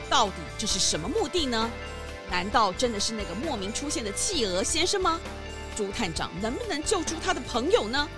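A woman's voice: fairly high pitch, big pitch swings, fairly quick, over music. A woman narrating a scripted children's mystery story in a suspenseful, dramatic way.

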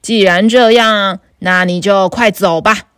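Woman doing a growling troll, low gruff voice, greedy, threatening, dry close sound. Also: steady tone, unhurried, fairly bright tone, no room sound, lightly acted. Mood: menacing. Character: gruff greedy male troll under a bridge.

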